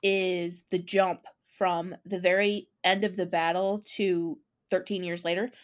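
A woman co-hosting a podcast in casual chat, talking with eager enthusiasm and frequent quick restarts. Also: on the low side, flat delivery. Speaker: podcast co-host.

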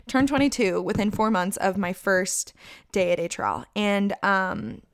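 A woman's voice: mid-range voice, sing-song, a little slow, vocal fry, smooth, no echo, studio quality. A woman in her twenties hosting a casual solo podcast, chatty and candid, leaning on "like" and "literally" and laughing often. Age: 20s. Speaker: podcast host.

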